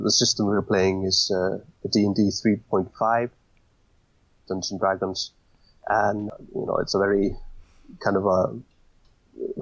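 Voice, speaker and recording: Casting: man, low husky voice, dry close sound, halting podcast guest. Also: dry recording, dull audio. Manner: hesitant, many fillers and restarts. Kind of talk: casual interview talk.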